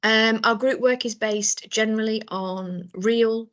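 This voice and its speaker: A woman's voice: on the high side, fairly even intonation, unhurried, fairly bright tone. A British woman, a professor, presenting a business school program in a live information session, explaining things with frequent ums and restarts. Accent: British English. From Britain.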